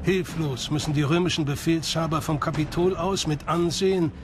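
A man's voice: fairly high pitch, moderate pace. A man reading scripted documentary narration. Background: music.

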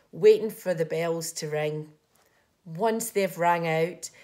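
A woman's voice: lowish voice, darkish tone. A middle-aged Scottish woman giving a scripted presentation and reading aloud for a community group. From Scotland.

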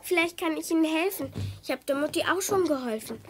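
A woman's voice: high voice, lively intonation, a little fast, a bit bright, slight background noise. A woman playing a nosy, chatty little neighbour girl in a children's radio play, polite, artless and full of questions. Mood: chatty, helpful.

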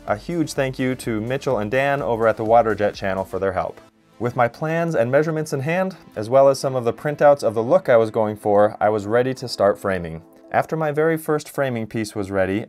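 A man in his thirties, host of a DIY channel, narrating his build in an upbeat, self-deprecating way. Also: mid-range voice. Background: faint background music.

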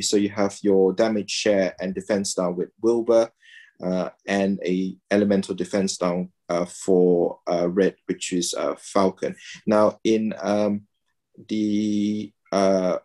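Deep-voiced man, hesitant on a video call. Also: dark tone. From Malaysia. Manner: hesitant, many fillers and restarts. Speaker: guest, pediatrician and game player. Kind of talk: casual video-call interview. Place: home room, indoors.

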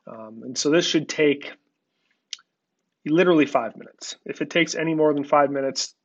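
A man giving a relaxed, conversational screen-recorded walkthrough of farm software, with frequent filler words. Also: on the high side, normal speed, muffled recording. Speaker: software company representative. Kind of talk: screen-recorded product demo.

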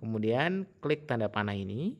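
A man's voice: on the low side, sing-song, moderate pace. A man presenting a casual step-by-step tutorial in an instructional manner.

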